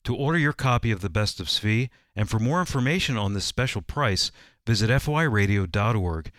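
A man's voice: on the low side, lively intonation, a little fast, fairly bright tone, dry recording. A man reading a scripted promotional announcement for a radio program in a studio.

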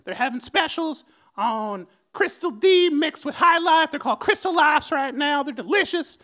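A man's voice: extremely high pitch, lively intonation, moderate pace, sharp tone, exaggerated voice. A man voicing a dopey male cartoon mouse hosting an improvised comedy show, drawling "man" at the end of lines. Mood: goofy. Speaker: host.

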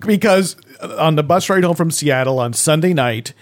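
Middle-aged man with a slightly high voice. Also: expressive, normal speed. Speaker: podcast co-host. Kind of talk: casual conversational discussion.

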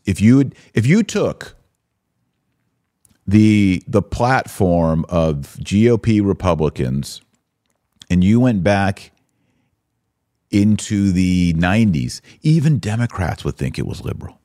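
Deep-voiced American man giving live commentary. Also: lively intonation, slow, pauses often, warm tone. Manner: conversational, opinionated, rhetorical questions. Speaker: podcast host and religious commentator. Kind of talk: live-stream commentary, answering chat comments.

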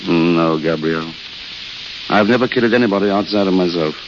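An actor playing a weary, courteous failed writer who mocks himself wistfully, in old radio drama style. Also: male voice, low voice, normal speed, natural voice. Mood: wistful, gentle. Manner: courteous, wistful, self-deprecating. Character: weary, self-mocking failed writer, a wandering intellectual. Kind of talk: 1930s-40s radio drama acting. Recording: hissy, crackly, muffled old radio recording.

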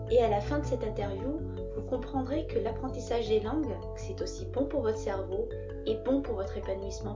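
A woman reading a scripted, upbeat introduction to her podcast. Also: a little high. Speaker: podcast host. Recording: lo-fi voice over music.

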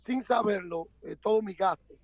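A man's voice: high voice, normal speed, no room sound. A man, a pastor guest telling his story in a podcast interview, joking easily. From Dominican Republic.

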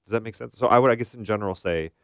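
A man's voice: on the low side, very fast, fairly bright tone, no room sound, studio quality. A man co-hosting a talk show as a therapist, giving casual, explanatory advice and often asking questions to check for agreement.

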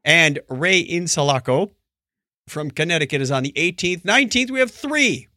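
A man's voice: on the high side, lively intonation, normal speed, pushed and bright, no echo, studio quality. A man hosting a podcast with playful, theatrical announcing and casual comic banter. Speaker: podcast host.

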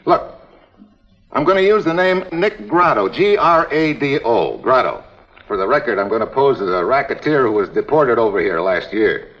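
An actor playing a tough American investigator posing as a racketeer, with clipped, slangy talk in a 1950s detective drama. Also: male voice, mid-range voice, expressive, normal speed, natural voice. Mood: brisk, determined. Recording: dull vintage TV soundtrack.

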